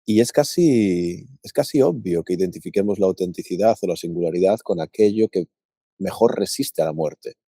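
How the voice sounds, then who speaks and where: A man from Paraguay co-hosting a podcast, thinking aloud in a relaxed, reflective chat with frequent restarts. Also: sits low, moderate pace, slightly rough, no echo, studio quality. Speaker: podcast co-host. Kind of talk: casual reflective conversation.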